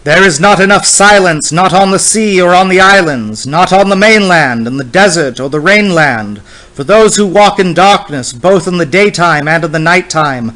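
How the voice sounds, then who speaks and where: A man reads a long poem aloud in a dramatic style, fairly high in pitch for a man. His delivery is slow, solemn and incantatory, leaning on the repeated phrases. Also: fairly bright tone, poor audio. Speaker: poetry reader. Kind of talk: dramatic poetry reading.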